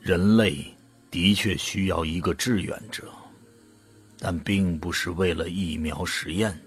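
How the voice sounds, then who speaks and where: A man acting in an audio drama as an awed, unsure ordinary volunteer who narrates his own story. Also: deep voice. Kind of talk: dramatic scripted narration and dialogue.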